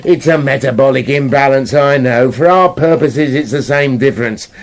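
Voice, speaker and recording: A man's voice: medium pitch, normal speed, close to an everyday voice. A British man playing a sardonic, bossy wolf in a comic radio play, grumbling and sarcastic. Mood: bossy, instructing. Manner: sarcastic, exasperated, sweary.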